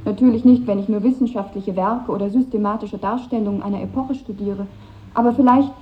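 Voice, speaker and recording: A woman's voice: medium pitch, fairly even intonation, a little fast, close to an everyday voice. An actress playing an earnest, idealistic schoolgirl in her final year, speaking precisely in a 1950s German radio drama. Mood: dreamy, idealistic. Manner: earnest, precise reading aloud of an essay. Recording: phone-like narrow-band vintage radio recording.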